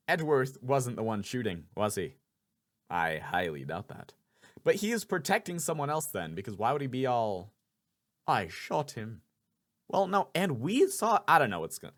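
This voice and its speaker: A man hosting a game playthrough, thinking aloud in an animated way and repeating himself as he reads and comments. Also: mid-range voice. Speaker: voice actor host of a game playthrough. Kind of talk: casual commentary and reading game dialogue aloud.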